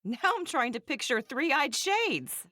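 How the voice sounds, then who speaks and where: A woman's voice: sits high, big pitch swings, slow, sharp tone, no room sound, exaggerated voice. A woman voicing a doting, strict and blunt mother in an English anime game, with a motherly, pointed manner. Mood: curious.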